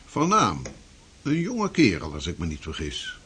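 An actor playing a shrewd 16th-century preacher who speaks in a lively, formal, old-fashioned way. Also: male voice, lowish voice, a little slow, breathy edge, full character voice. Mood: earnest. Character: shrewd 16th-century Dutch preacher, fierce against Spain. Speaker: preacher and cartographer. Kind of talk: radio drama acting.

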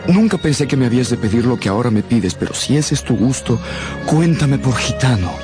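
A man's voice: mid-range voice, animated, a little fast, over music, natural voice. An actor playing a courtly, earnest young nobleman in love, in a radio drama. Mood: ardent. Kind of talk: Spanish radio drama acting.

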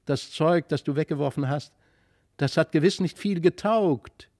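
A man voicing a sweet, kindly magical child in a storybook, gentle, playful and encouraging. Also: fairly high pitch, normal speed, no room sound, studio quality. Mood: amused.

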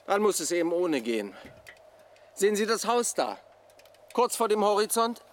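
An actor playing a blunt, complaining maintenance worker in a German radio drama. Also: male voice, high voice, very animated, normal speed, slight background noise. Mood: offhand. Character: gruff, grumbling male lamp maintenance worker.

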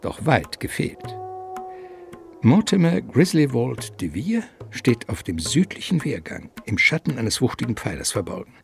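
An actor narrating a mock gothic mystery radio play in a theatrical, savouring, suspenseful literary style. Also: male voice, medium pitch, huge pitch swings, unhurried, gravelly, soft background music, exaggerated voice.

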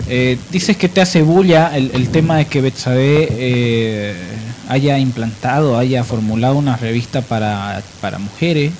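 A man's voice: mid-range voice, measured pace, darkish tone, slight background noise. A man with a Bolivian accent hosting a radio show, explaining things emphatically and with opinion. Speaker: radio host. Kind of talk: radio discussion and biographical narration.

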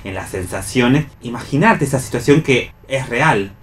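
A man's voice: medium pitch, expressive, moderate pace. Argentine guy on a radio panel, thoughtful, repeats himself for emphasis, bit of background noise. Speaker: radio host discussing a play. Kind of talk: round-table literary discussion.

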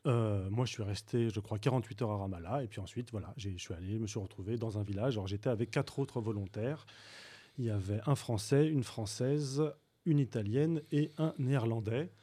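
A man speaking as a guest on a radio talk show, recounting his volunteer trip in a halting way with frequent fillers and restarts. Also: fairly low pitch, warm tone, husky. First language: Arabic speaker. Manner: hesitant, many fillers and restarts. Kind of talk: radio interview.

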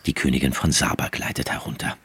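A man narrating a literary radio play from a script in a measured, descriptive manner. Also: music in the background.

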